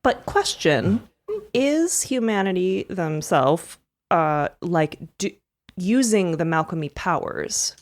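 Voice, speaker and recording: A woman's voice: fairly low pitch, expressive, takes it slowly. A woman playing a tabletop roleplaying game, improvising the story in a casual, conversational way.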